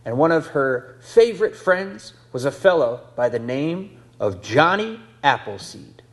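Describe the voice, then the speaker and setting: A man narrating a puppet show as a storyteller, with scripted, measured phrasing. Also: fairly high pitch, big pitch swings. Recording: loud guitar music behind voice.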